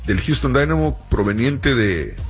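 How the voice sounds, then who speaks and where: A man's voice: medium pitch, sing-song, unhurried, soft background music. A man hosting a soccer talk show, speaking conversationally with frequent fillers and self-corrections. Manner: conversational, many fillers like "este" and "eh". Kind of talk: casual sports talk.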